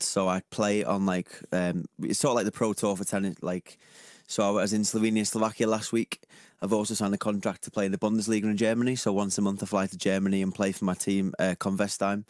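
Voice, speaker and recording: Young scouse lad, low voice, chats fast and easy on a radio mic. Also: male voice, dry recording, studio quality. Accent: Liverpool. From Liverpool, England. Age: 20s. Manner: open, relaxed, laughs easily. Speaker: guest athlete. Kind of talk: radio interview. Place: small radio studio. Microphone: studio microphone.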